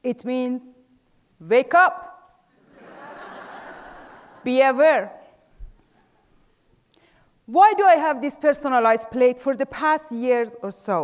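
Middle-aged Persian-accented woman, high voice, slow and measured stage delivery, reverberant hall. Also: animated. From Iran. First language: Farsi. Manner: measured, trailing off softly at phrase ends. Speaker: speaker. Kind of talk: prepared stage talk. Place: large dark theater stage. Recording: theater with long reverb.

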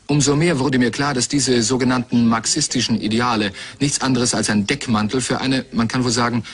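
A man speaks at an average pitch, reading out translated interview answers. It is a scripted documentary voice-over. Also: fairly bright tone.

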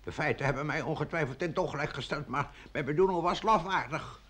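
An actor playing a flustered, pompous village mayor who swings between lamenting and bossing in a radio play. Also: male voice, a little high, fast talker, a bit bright. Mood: defensive, flustered. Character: flustered, blustering male village mayor, self-pitying then bossy. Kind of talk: Dutch radio play acting.

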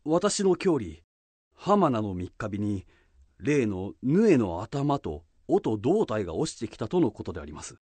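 Humble samurai retainer for radio drama, formal and deferential, medium pitch, very expressive. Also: male voice, unhurried, slightly rough, no room sound. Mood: earnest reporting. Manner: formal, respectful archaic speech.

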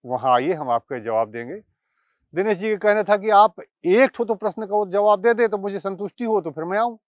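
A man, giving halting explanatory commentary to camera with deliberate pauses. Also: a little high, clear, resonant tone, no room sound. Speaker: presenter. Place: outdoors by water and trees.

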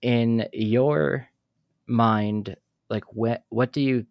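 A young man hosting a podcast from a small dark room on a studio mic, chatty and given to telling his own stories while asking questions. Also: mid-range voice, fairly even intonation, very slow, vocal fry, dry recording, highs cut off. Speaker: podcast host. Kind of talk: casual interview chat. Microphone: studio mic on arm, headphones.

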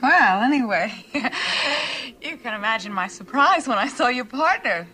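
A woman's voice: high voice, lively intonation, a little slow, sharp tone, full character voice. A woman playing a coy, flirtatious shopkeeper with a scheming streak in a mystery film, giggly and hesitant. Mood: coyly threatening. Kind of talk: television film mystery acting.

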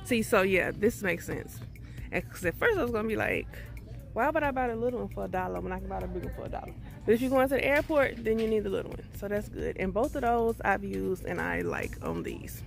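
A woman's voice: medium pitch, sing-song, fast, vocal fry, soft background music. A middle-aged woman chatting casually to viewers as she films herself walking a store aisle on a phone. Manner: chatty, addresses viewers as y'all. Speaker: vlogger. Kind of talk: casual shop-with-me chat. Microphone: handheld phone.

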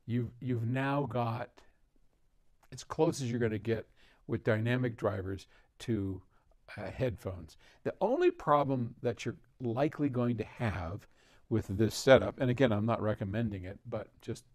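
A man's voice: medium pitch, a little slow, a little mellow, dry recording. Chatty older man in a dry listening room. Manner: chatty, laughs often, rambles with asides. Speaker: host answering viewer questions. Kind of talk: casual talk to camera. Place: large listening room with speakers.

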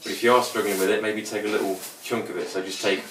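A man in his forties, a bit hoarse, giving a casual, rambling guitar tutorial at home with many restarts. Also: lowish voice, flat delivery, fast talker. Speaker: guitar teacher. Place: small cluttered room at home. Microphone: camera mic from a few feet away. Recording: echoey room, distant camera mic, guitar playing. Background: acoustic guitar playing.

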